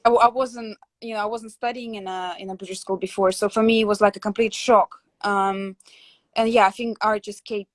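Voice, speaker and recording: A young woman from Latvia hosts a relaxed conversation over a video call, thinking aloud with frequent fillers and restarts. Her voice sits in a middle pitch and sounds dry and close, with no room echo. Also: brisk. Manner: reflective, many fillers and restarts. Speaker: host. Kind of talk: casual interview chat.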